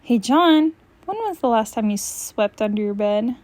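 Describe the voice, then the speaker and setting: A woman reading a comic storybook aloud in an expressive, acted style. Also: fairly high pitch, a little slow, no echo. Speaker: storybook reader.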